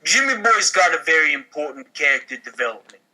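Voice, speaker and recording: Sharp, bright-voiced man at a table read. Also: on the high side, very animated, brisk, a little hiss or hum, rough recording. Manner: wisecracking, informal. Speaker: podcast cast member reading a script. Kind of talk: comedy table read with improvised asides.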